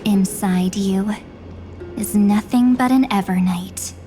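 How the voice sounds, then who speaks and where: Slow, solemn woman acting an enigmatic young woman. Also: medium pitch, dark tone, close to an everyday voice. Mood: cryptic, solemn. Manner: slow, deliberate, portentous delivery. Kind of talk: dramatic video game voice acting. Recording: under clear background music.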